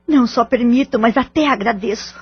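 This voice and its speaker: High-voiced, anxious Brazilian mother. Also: brisk, husky. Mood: anxious, polite. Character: distressed married mother, anxious, polite, hopeful. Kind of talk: audio drama acting in Portuguese. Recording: dull lo-fi audio drama recording.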